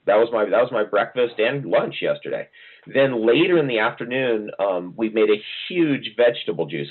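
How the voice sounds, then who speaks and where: A middle-aged man from New Jersey, a guest talking casually and rambling with many ums and restarts. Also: sits low. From New Jersey, USA. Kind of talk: casual interview answers. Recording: phone-like narrow audio.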